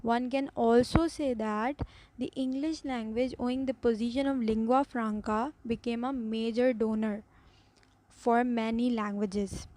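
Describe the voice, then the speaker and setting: A woman's voice: fairly high pitch, dark tone, rough recording. Earnest woman with a Pakistani accent. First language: Urdu. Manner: earnest, explanatory, with restarts. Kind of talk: class presentation.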